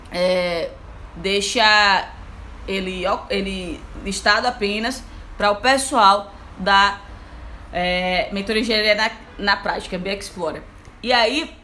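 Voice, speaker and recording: A young Brazilian woman, an engineer, talking casually to her online audience as a mentor, lively and chatty with many fillers. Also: mid-range voice, slight background noise. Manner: lively, chatty, frequent fillers like "então, assim". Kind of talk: casual live talk to an audience.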